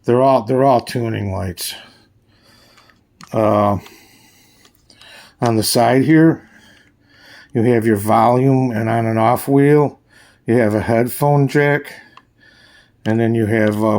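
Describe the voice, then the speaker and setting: A man giving a casual, hands-on product demonstration as a reviewer, speaking in a plain, halting way. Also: fairly low pitch, steady tone, darkish tone. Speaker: radio reviewer, host.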